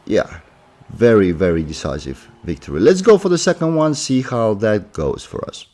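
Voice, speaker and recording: Low-voiced man with a sore throat. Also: normal speed. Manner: relaxed, thinking aloud with many fillers. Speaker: gaming commentator. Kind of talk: casual gameplay commentary.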